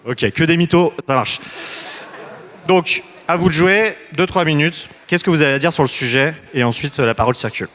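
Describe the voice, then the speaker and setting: A man's voice: a little high, moderate pace, a bit bright. A man facilitating a citizens' assembly, loose and joking, with many restarts.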